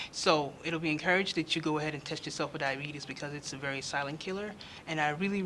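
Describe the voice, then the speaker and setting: Bright-voiced young man, earnest and halting. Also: fairly high pitch, a little hiss or hum. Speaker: nursing student. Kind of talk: on-camera interview. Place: state house conference.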